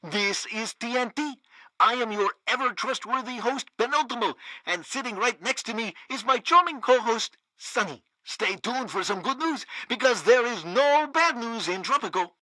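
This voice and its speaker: A man's voice: extremely high pitch, expressive, normal speed, pushed and bright, dry recording, full character voice, rough recording. An actor playing a pompous, theatrical and fawning male propaganda radio news host in a satirical video game. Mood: self-satisfied.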